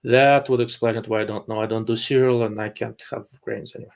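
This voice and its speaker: A man's voice: fairly low pitch, steady tone, fast, creaky. A man hosting a live stream, reading viewer questions and answering them bluntly while thinking aloud with frequent hesitations. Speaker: livestream host answering viewer chat. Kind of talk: casual live-stream chat.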